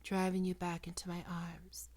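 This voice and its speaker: A woman playing a possessive, predatory female vampire in an ASMR roleplay, coaxing and cooing in a breathy voice that often drops into whispers. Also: fairly low pitch, monotone, a little mellow, lightly acted. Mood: seductive, coaxing. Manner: slow, cooing, condescending endearments.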